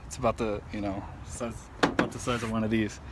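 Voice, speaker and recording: A man giving an informal, halting how-to demonstration, thinking aloud as he goes. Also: on the low side, little pitch movement. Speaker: amateur truck owner showing a DIY install. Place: outdoors at a truck. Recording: handheld outdoor recording with clear background noise.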